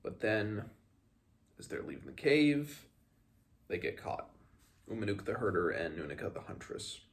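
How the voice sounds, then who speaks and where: A man reading aloud from a fantasy novel and retelling it casually, haltingly paraphrasing between passages. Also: lowish voice, sing-song, vocal fry. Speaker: host of a book read-along.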